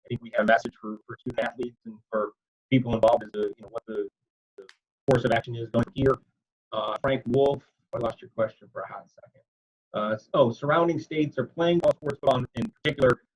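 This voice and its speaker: A man's voice: medium pitch, a little fast. A man moderating a media conference call, casually and chattily relaying questions in loose wording, over lo-fi call audio. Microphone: video conference call.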